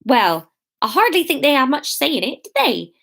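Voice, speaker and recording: A woman puts on a scornful male villager from a comic storybook. He scoffs through pointed questions, his pitch pushed up high. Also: big pitch swings, normal speed, vocal fry, fairly bright tone, full character voice. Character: scoffing, sarcastic village man.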